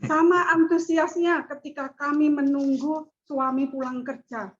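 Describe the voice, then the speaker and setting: Slow high-voiced woman presenting to a class. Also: steady tone. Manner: slow, measured speech with chanted recitation. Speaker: student presenter. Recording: dull lo-fi audio.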